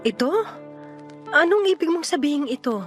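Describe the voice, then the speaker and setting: A patient, worried young woman in a Filipino radio drama. Her Filipino-accented voice is on the high side, earnest and reassuring as a loyal friend. Light music plays underneath. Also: lively intonation, normal speed. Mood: worried, probing. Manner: earnest, reassuring, gently insistent. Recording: music behind the voice.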